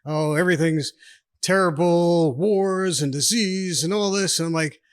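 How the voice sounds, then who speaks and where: Give me a man in his sixties telling rambling stories in a slightly high voice with a dry sound. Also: unhurried, smooth, no room sound. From New York State, USA. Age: 60s. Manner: chatty, rambling, laughs often. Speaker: podcast guest, retired army officer. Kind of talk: casual storytelling interview.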